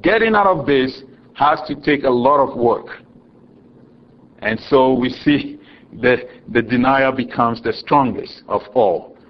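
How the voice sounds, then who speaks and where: A man from Ghana with a Ghanaian accent, preaching a sermon slowly and deliberately, chuckling often and dropping low for solemn lines. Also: highs cut off.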